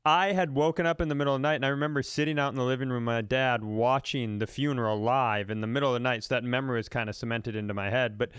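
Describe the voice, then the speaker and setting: American man, clean crisp voice, casual comedy banter, emphatic profane storytelling, dry close recording. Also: medium pitch, fairly even intonation, fast, hardly any pauses, fairly bright tone, no room sound. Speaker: comedy podcast co-host.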